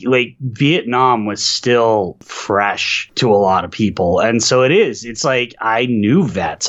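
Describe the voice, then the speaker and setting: A man's voice: mid-range voice, lo-fi sound. A man co-hosting a film podcast, explaining history with enthusiasm in casual discussion. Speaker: podcast co-host.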